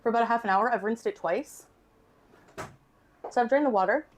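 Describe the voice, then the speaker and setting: Higher-voiced woman cooking, some background noise. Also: brisk. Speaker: cooking vlogger. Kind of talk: casual home cooking vlog, explaining steps. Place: home kitchen.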